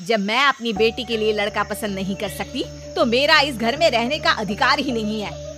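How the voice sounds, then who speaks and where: A woman's voice: on the high side, normal speed, bright, forceful tone. A woman playing a greedy, scheming middle-aged mother in a cartoon story, with a dramatic, scolding and wheedling manner. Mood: insistent. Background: background music.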